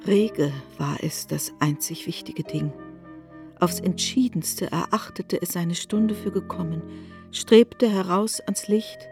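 A woman narrating a literary radio drama in a measured, restrained manner. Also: lowish voice. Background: faint instrumental music.

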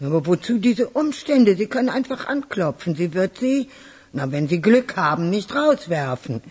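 An actress playing a chatty, gracious older aunt with an effusive, playfully theatrical manner in a radio crime drama. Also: female voice, medium pitch, sing-song, normal speed, a little mellow, husky, long reverb tail. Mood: gracious, sly.

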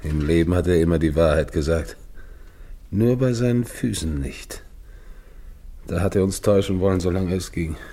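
An actor playing an anguished, guilt-ridden, dying polar expedition captain in a German radio play, with halting, brooding delivery. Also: male voice, deep voice, mellow. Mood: grieving, weary.

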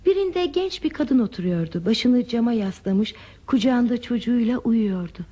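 A woman playing a curious, romantic visitor in a radio play, with expressive, dreamy, theatrical phrasing. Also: a little high, moderate pace, dull audio. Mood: enchanted, dreamy. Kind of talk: Turkish radio theatre acting.

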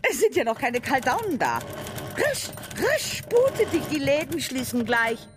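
A man voicing a slovenly, bossy woman of about fifty in a radio story, with a brisk, nagging manner. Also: very high voice, wildly swooping pitch, background noise, pitched above the performer's own voice, exaggerated voice. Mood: shrill, hurrying. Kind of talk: dramatic reading of a radio story.

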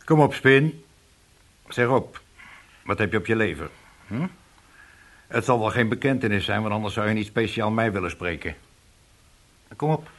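An actor playing a senior police chief in a Dutch radio play, fatherly but unbending, speaking with blunt, measured authority. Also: on the low side, expressive, a bit bright. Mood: prodding, patient.

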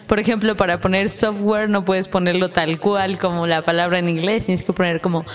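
A woman co-hosting a casual podcast chat, chatty and quick to finish her co-host's sentences. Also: fairly low pitch, flat delivery, in a large hall. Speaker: podcast co-host.

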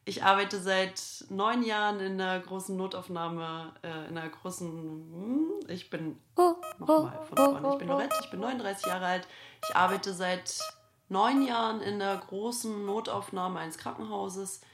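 A woman's voice: medium pitch, moderate pace. A woman in her late thirties, an emergency nurse, describing herself plainly. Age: 30s. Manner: restarts, then direct and matter-of-fact. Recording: roomy echo.

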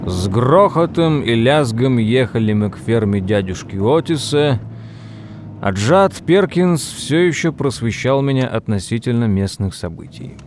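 An actor playing a wry nephew who narrates a family tale in a measured, literary way for an audio drama. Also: male voice, medium pitch, very animated, a little mellow, a little hiss or hum. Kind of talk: scripted first-person audio drama narration.

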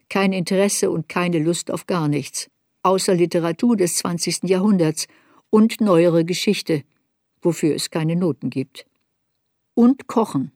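A woman's voice: fairly low pitch, darkish tone, dry recording, studio quality. An actress playing an adult woman memoirist who searches her girlhood unsparingly, in literary radio play narration. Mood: unsparing, searching.

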